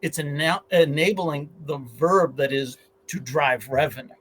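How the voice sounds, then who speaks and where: A man's voice: on the high side, a little slow, fairly bright tone. A man on a livestream panel, earnestly telling a story from his career to make his point.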